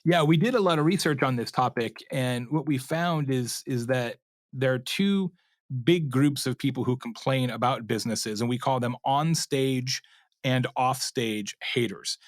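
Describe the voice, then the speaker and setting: A man's voice: medium pitch, measured pace, no echo, clean studio sound. A man speaking as an expert interview guest, explaining conversationally and acting out imagined quotes as he goes. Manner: animated storyteller, acts out imagined customer quotes, checks in with "right?".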